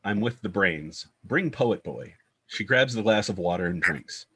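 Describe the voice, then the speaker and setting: A man's voice: lowish voice, animated, unhurried, pushed and bright, husky. A man reading screenplay stage directions as narrator, in clipped, descriptive scripted phrases.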